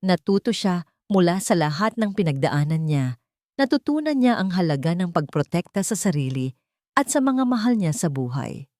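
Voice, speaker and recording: Filipina woman narrating a drama story, lowish voice, super dry sound no echo. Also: very animated, moderate pace, a little mellow.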